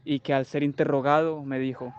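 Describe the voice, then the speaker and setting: A man's voice: medium pitch, level tone, a little fast, highs cut off, background noise, natural voice. A man playing a self-assured, pedantic wartime doctor who talks in a clinical, matter-of-fact way in a radio drama. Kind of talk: literary radio drama monologue.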